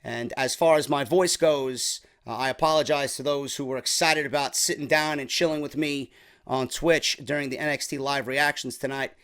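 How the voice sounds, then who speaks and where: A young man from New York, chatty and slightly hoarse from a sore throat, reviewing a wrestling show in a casual vlog. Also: medium pitch, fairly even intonation, normal speed, fairly bright tone. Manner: chatty, keeps calling viewers "man".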